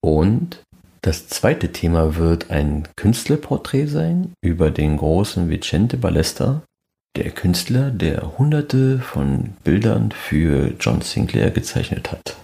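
Deep, creaky-voiced male podcast host. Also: very animated, takes it slowly, warm tone. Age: middle-aged. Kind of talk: fan podcast monologue.

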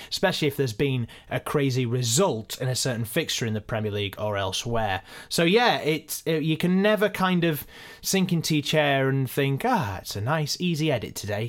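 Chatty man hosting a podcast. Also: medium pitch. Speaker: podcast co-creator and host. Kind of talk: casual behind-the-scenes Q and A.

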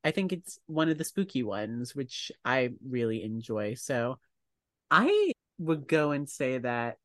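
Male podcast co-host, medium pitch, emphatic playful banter, echo-free sound. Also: big pitch swings, a little slow, clean studio sound. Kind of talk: casual banter.

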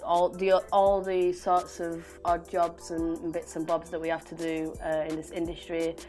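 A woman's voice: fairly low pitch, completely flat delivery, normal speed, dark tone. A woman chatting casually as a podcast co-host. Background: music.

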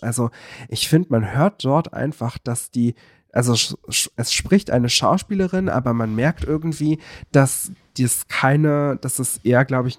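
A man chatting casually on a podcast, circling his point with frequent fillers and restarts. His voice sits at a middling pitch for a man. The recording is close and dry, with no room sound. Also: dry recording. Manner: rambling, many fillers and self-corrections. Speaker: podcast co-host. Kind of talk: casual chat.

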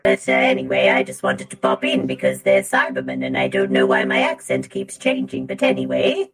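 A performer voicing a prim female robot nanny on a podcast, speaking in a halting, proper, faux-British way. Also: a bass voice, monotone. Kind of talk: comic bit on a podcast.

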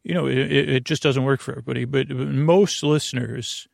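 A man's voice: medium pitch, fairly even intonation, brisk, gravelly, no echo, clean studio sound. A man hosting a bedtime podcast in a meandering, hesitant, slightly goofy monologue that keeps circling back on itself. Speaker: podcast host.

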